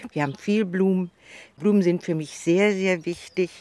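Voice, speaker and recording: Soft-spoken woman in her seventies. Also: on the low side, normal speed. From northern Germany. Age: 70s. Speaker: interviewee, retired gardener. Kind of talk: casual interview answers. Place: outdoors in a city allotment garden.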